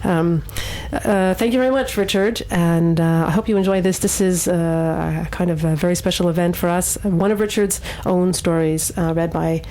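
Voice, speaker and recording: A woman's voice: lowish voice, steady tone, normal speed, hardly any pauses, mellow. A woman hosting a radio show, chatting live and introducing segments. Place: radio studio.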